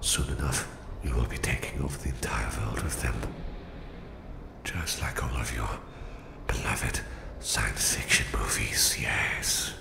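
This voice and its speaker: A sinister Russian mastermind for an ASMR roleplay. His voice is extremely low and thick with gravelly creak, gloating coldly over a captive in deliberate whispers. It rings in a roomy echo. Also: exaggerated voice. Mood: gloating menace. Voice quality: very deep, gravelly, breathy whisper. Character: menacing Russian psychic villain, a cold, gloating captor. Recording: roomy, echoing voice.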